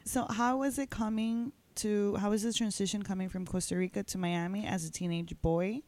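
A woman's voice: mid-range voice, steady tone, normal speed, a little mellow, dry recording. A woman co-hosting a podcast, chatting casually and asking her guest questions. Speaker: podcast co-host.

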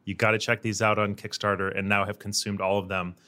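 A man's voice: fairly low pitch, flat delivery, a little fast, pushed and bright. A man hosting an interview podcast, chatty and rambling as he sets up questions. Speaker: podcast host. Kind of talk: casual interview.

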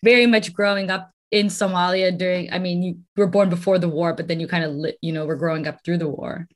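A woman's voice: lowish voice, fairly even intonation, fast. A woman interviewer who asks thoughtful questions and keeps up short attentive acknowledgements.

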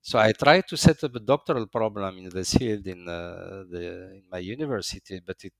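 Older man from morocco, lowish voice, stops and restarts a lot, dry close sound. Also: vocal fry, a bit bright, no echo. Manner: slow, halting, many uh fillers and restarts. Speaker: interviewee, engineering professor. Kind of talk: hesitant interview answers.

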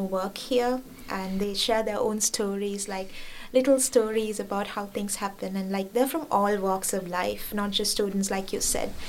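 A young woman from Sri Lanka talks easily in an interview, her voice pitched in an ordinary middle range. She keeps dropping in "like" and "you know" as she goes. Faint noise hums underneath. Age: young adult. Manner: chatty, many fillers like "like" and "you know", laughs often. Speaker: international student guest. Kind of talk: casual interview.

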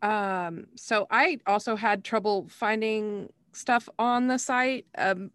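A woman's voice: mid-range voice, slow pace, a bit bright, smooth, dry recording. Woman in casual chat, dry mid-range voice. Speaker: podcast panelist.

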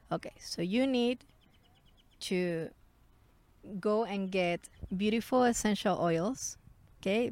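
A woman's voice: medium pitch, darkish tone, dry recording. Woman, Chilean accent, native Spanish speaker. Informal interview guest who explains by example; dry, close sound. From Santiago, Chile. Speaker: interview guest, healing practitioner. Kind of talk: casual conversational explaining.

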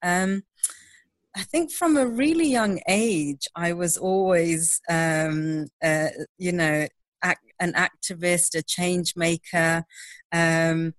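A woman from Zimbabwe, a social entrepreneur guesting on a podcast, speaking candidly and haltingly with fillers. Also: on the low side, no echo. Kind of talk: conversational interview.

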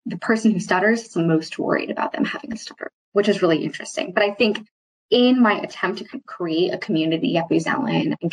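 A woman with a slightly low, husky voice answers interview questions reflectively. She phrases things in a thoughtful, halting way, rephrasing as she goes, with a mild stutter that surfaces only occasionally. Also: poor audio. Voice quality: mild, mostly covert stutter with occasional repeated starts. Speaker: interview guest, employee speaking on stuttering.

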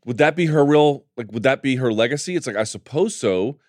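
A man's voice: mid-range voice, fairly quick, no room sound. Guy hosting a sports talk show, emphatic, keeps saying dude, dry close mic. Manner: animated, emphatic, calls co-host dude. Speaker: podcast host. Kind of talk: casual MMA talk.

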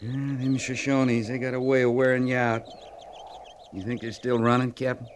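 A man's voice: medium pitch, little pitch movement, normal speed, close to an everyday voice. Man acting folksy cavalry sergeant, faintly noisy old radio. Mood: easy, musing. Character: plain-spoken, respectful cavalry sergeant, seasoned trooper. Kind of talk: 1950s radio western acting. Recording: noisy vintage radio drama recording.

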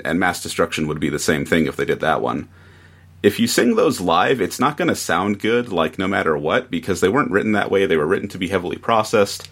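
A man giving a measured, analytical take as a guest in a casual podcast discussion. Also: low voice, a bit bright. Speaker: guest reviewer.

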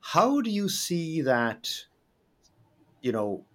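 A man interviewing in a conversational way, halting and repeating words as he forms his thoughts. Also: on the high side, sing-song, a bit bright. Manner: halting, repeats words while forming questions. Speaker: podcast interviewer.

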